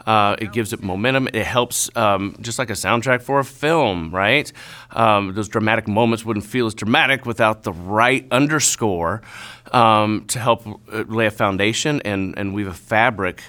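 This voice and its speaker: Male interviewee, music arranger. Lowish, forward bright tone, halting reflective delivery. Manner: halting, thoughtful.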